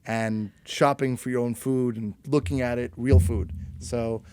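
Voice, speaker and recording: A man hosting a podcast interview, easygoing but halting as he circles back through his questions. His voice sits in a middle range. The recording is dry and close. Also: medium pitch, steady tone, mellow, clean tone, no room sound. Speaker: podcast host. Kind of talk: casual interview chat.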